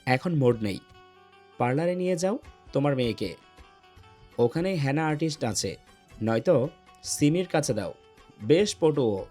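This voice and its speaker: A curt young man played by a male actor in an audio love story, teasing and bossy with his family. His voice sits slightly high for a man, and music plays behind it. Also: animated, unhurried, long pauses, warm tone. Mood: curt, annoyed. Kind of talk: Bengali audio story acting.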